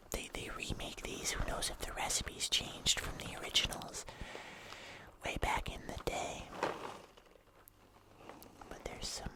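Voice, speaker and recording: An ASMR host chatting casually to viewers in a soft, unhurried whisper with drawn-out phrases. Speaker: host of an ASMR video channel.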